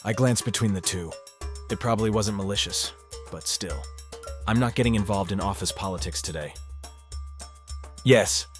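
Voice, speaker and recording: A sardonic, awkward teenage boy, acted by a man telling his own story in a visual novel game. His voice is fairly low and dry, over clearly audible music. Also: moderate pace, pauses often, close to an everyday voice. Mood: resigned, dry. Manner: dry, sardonic inner monologue. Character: wry, awkward teenage human boy narrating his life. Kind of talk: first-person visual novel narration and dialogue.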